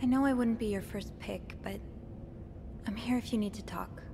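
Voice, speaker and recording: An actress playing a gentle, curious young maid in a game, speaking softly and hesitantly with sympathy. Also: female voice, a little high, moderate pace, background noise, lightly acted. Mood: gentle, apologetic. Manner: hesitant, soft-spoken, sympathetic. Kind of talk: naturalistic game voice acting.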